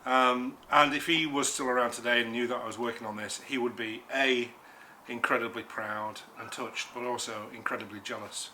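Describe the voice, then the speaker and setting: A man's voice: medium pitch, steady tone, normal speed, hardly any pauses, sharp tone, a little hiss or hum, low-quality recording. A man from Nottingham with an English accent, a guest designer chatting outdoors in a back garden, speaking plainly with hesitant ums. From Nottingham, England. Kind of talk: casual chat.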